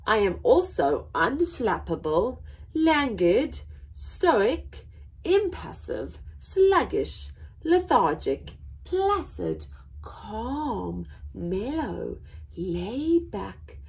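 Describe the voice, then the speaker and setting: A young woman voicing a placid, unbothered sloth in a children's storybook, savouring each word deliberately. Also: on the high side, wildly swooping pitch, breathy edge, exaggerated voice. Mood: earnest, measured. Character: slow, placid, unbothered sloth explaining itself. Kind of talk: children's storybook read-aloud.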